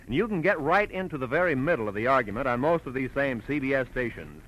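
A man's voice: mid-range voice, lively intonation, quick pace, fairly bright tone, lo-fi sound. A man with an American accent announcing in polished 1940s network radio style.

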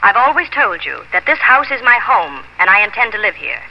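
Headstrong young colonial heiress, muffled radio. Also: female voice, medium pitch, sing-song, brisk, a little hiss or hum. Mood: defiant. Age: young adult. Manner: earnest, theatrical. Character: headstrong, emotional young colonial heiress telling her story. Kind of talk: 1950s radio drama acting and narration. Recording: muffled old radio recording with music.

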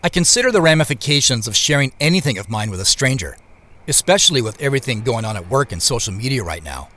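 A man's voice: mid-range voice, sing-song, fast, pushed and bright. A man playing a wary, guarded narrator telling his own story introspectively in an audio drama. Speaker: lead actor and narrator. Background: music.

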